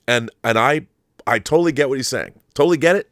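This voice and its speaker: A man's voice: mid-range voice, fast, fairly bright tone, dry recording, clean studio sound. A man hosting a film commentary show, talking in a casual, opinionated monologue with an effusive, emphatic, rambling manner and some swearing.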